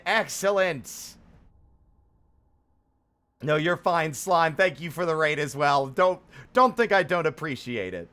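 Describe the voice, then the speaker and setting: Animated, higher-voiced man livestreaming. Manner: playful, theatrical, rambling, with sing-song exclamations. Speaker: live streamer, host. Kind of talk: casual livestream chat with viewers.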